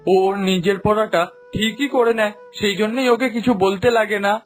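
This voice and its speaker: High-pitched proud cartoon father, acted by a man. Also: fairly even intonation, fairly quick, soft background music, close to an everyday voice. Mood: defensive, irritated. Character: proud, indulgent middle-aged father, protective of his daughter. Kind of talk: animated cartoon voice acting.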